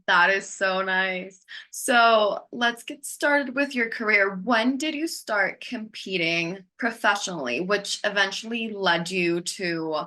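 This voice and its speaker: A woman from Mexico hosts a podcast, her voice bright and lively. She asks questions casually, with plenty of "like" and easy laughter between them. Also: medium pitch, slow pace, few pauses. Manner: warm-up chatter with lots of "like", laughs easily. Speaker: podcast host. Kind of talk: casual interview hosting.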